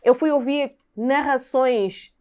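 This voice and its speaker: Earnest Angolan woman with a clear voice. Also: high voice, a little mellow. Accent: Angolan Portuguese. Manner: earnest, explains at length, quick bursts. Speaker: podcast guest. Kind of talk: casual chat.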